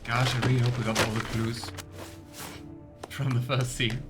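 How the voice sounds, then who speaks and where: Chatty guy doing a let's play, thinking out loud, normal pitch. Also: level tone, moderate pace, music in the background. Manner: chatty, curious, thinks aloud. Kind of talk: casual gameplay commentary.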